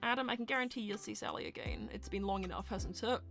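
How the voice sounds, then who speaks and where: A woman's voice: mid-range voice, huge pitch swings, fast talker, gravelly, a bit bright, faint music behind. A woman chatting casually and animatedly as a show host, reading out audience questions and thinking through her answers aloud. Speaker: host and voice actor of a live character Q&A show.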